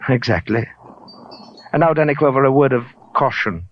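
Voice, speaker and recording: An actor playing a huge, urbane, menacing gentleman in a radio crime drama, speaking in a florid, deliberate way. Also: mid-range voice, lively intonation, close to an everyday voice. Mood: silky menace. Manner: florid, pompous, silky, deliberate. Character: huge, urbane, menacing gentleman collector of fake Oriental art. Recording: muffled, hissy old radio recording.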